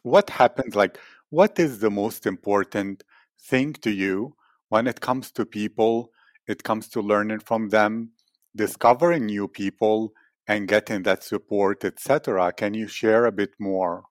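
A man with a lowish voice conducts an interview and asks long, carefully built questions in a formal, probing way. The recording is dry, with no room sound around him. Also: measured pace, no room sound.

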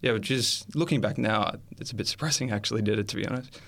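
A man sharing his faith testimony as an interview guest, earnest and reflective, pausing often as he thinks aloud. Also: fairly low pitch, creaky, fairly bright tone.

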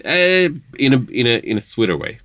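A man's voice: mid-range voice, sing-song, brisk, a bit bright, no echo. An Israeli man talking earnestly on a podcast, in slightly broken English, often laughing. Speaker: podcast guest. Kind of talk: casual conversation.

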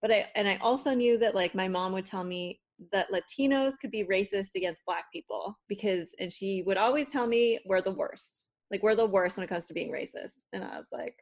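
A woman's voice: medium pitch, fairly quick, few pauses. A young woman from Toronto with a Toronto accent, whose first language is Spanish, telling personal stories in a candid, casual way with swearing and acted-out quotes. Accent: Toronto English. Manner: candid storytelling with swearing, acting out quoted people. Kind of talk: casual conversation.

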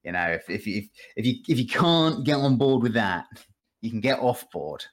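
A man's voice: medium pitch, very animated, moderate pace. A man talking casually as a podcast guest and game streamer, with dry, tongue-in-cheek humour. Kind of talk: casual podcast chat about video games.

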